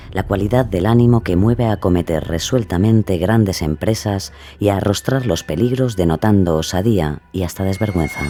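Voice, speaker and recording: A man with an accent from Spain reads scripted podcast narration in a measured, reflective, literary manner. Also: low voice, warm tone.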